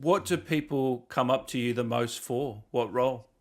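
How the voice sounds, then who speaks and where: A man with a medium-pitched voice hosts a relaxed interview. He speaks informally and with enthusiasm, asking questions in slangy, conversational language. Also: normal speed. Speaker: interviewer, host. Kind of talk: casual interview chat. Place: remote video call.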